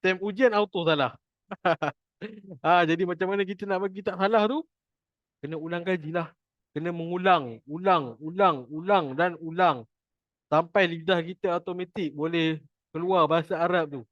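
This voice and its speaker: Malaysian guy teaching an informal class, jokey, repeats stuff, higher-ish voice, muffled dry audio. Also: normal speed, no echo. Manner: chatty, jokey, repeats points for emphasis. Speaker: Quran recitation teacher.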